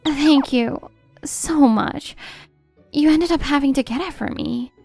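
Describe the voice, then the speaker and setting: A woman's voice: high-pitched, takes it slowly, gravelly, a little mellow, no room sound, soft background music, exaggerated voice. A woman voicing a polite, easily flustered, apologetic young woman in a manga story. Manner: apologetic, hesitant.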